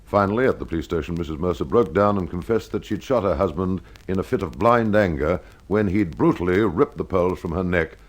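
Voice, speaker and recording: A man narrating a scripted old-time radio detective story as its host, in a measured, dramatic storytelling manner. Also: sits low, darkish tone.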